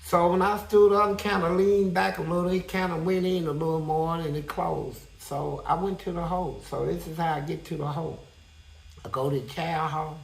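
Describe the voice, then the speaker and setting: Man, gravelly, high-pitched, blunt, profane, echoey room. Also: normal speed. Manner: blunt, profane, animated storyteller with many "man" and "right?" asides. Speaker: guest telling a prison story. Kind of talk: casual storytelling interview.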